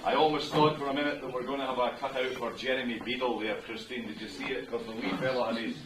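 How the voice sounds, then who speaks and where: A man's voice: mid-range voice, steady tone, fast, crowd noise. A middle-aged Scottish man officiating a wedding ceremony, chatty and joking with his guests. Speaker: wedding minister. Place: wedding venue indoors. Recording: roomy, distant mic with venue noise.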